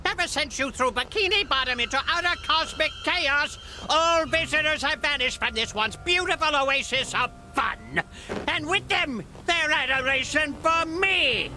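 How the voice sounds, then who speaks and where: A lovelorn, bitter glove mascot for a cartoon game. The voice is high and brooding, with a melodramatic delivery over music. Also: lively intonation, unhurried, pushed and bright, full character voice, low-quality recording. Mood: bitter, menacing. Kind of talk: animated video game acting.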